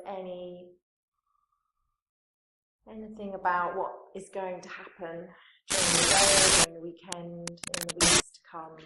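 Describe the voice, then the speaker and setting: A woman yoga teacher leading a guided meditation in a calm, soothing manner, with pauses between phrases. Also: fairly low pitch, slight background noise. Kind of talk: guided meditation, gentle spoken instruction. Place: indoor room, live training course class. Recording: roomy echo, live class recording.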